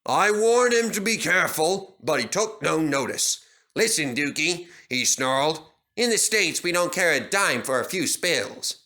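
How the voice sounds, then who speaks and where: A man's voice: a little high, lively intonation, a little slow, bright, forceful tone, pitched above the performer's own voice. A man voicing a proud, kindly old steam engine who scolds in a stern, old-fashioned way, in a storybook reading. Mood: grave storytelling.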